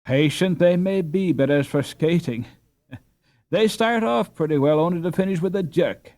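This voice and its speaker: A man's voice: fairly high pitch, measured pace, darkish tone, pitched above the performer's own voice. A man voicing a haughty, mocking schoolboy in a storybook, with a sneering, sarcastic manner.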